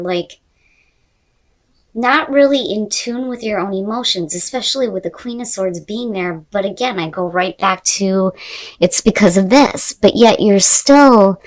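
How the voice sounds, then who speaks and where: A woman's voice: medium pitch, far from the mic, rough recording. A woman giving a conversational tarot reading straight to the viewer, repeating phrases for emphasis. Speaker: tarot reader.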